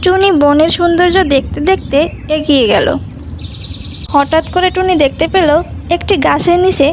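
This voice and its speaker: A woman's voice: very high voice, moderate pace, a little hiss or hum. A woman reading scripted narration for a children's animal story.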